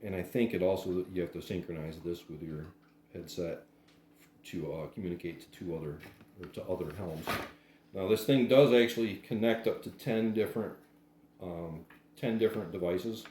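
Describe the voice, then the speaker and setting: Low-voiced man, casual unboxing, roomy echo. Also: moderate pace, dark tone. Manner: unscripted, hesitant, plain-spoken. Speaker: product reviewer doing an unboxing. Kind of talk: casual hands-on unboxing commentary. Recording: roomy echo, faint background noise.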